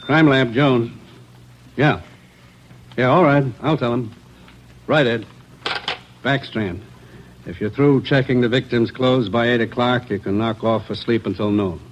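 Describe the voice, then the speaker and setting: An actor playing a brisk, matter-of-fact crime lab technician in a 1940s radio police drama. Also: male voice, medium pitch, close to an everyday voice. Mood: businesslike. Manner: businesslike, dry, clipped. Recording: muffled, noisy old radio recording.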